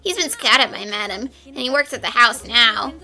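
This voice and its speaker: A woman's voice: fairly high pitch, normal speed, bright, forceful tone, faint music behind, poor audio. A woman voicing a polite, helpful young house maid with a courteous manner in a fan dub. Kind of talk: fan dub game voice acting.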